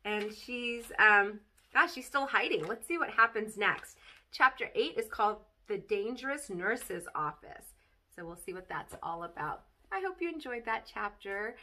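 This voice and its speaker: A woman's voice: mid-range voice, bright, forceful tone. A young adult woman, a teacher reading aloud expressively to children and putting questions straight to her young listeners. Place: small plain room, indoors.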